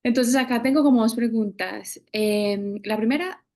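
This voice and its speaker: A woman's voice: fairly high pitch, normal speed, darkish tone. A woman with a Colombian accent taking part in a webinar, putting a question in a conversational, explanatory way. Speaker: webinar participant asking questions.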